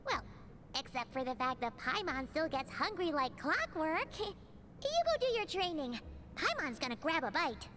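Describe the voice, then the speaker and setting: A woman's voice: high-pitched, very animated, moderate pace, fairly bright tone, a little hiss or hum. A woman voicing a tiny, always-hungry fairy companion in a game, chatty and bubbly, talking about herself in the third person.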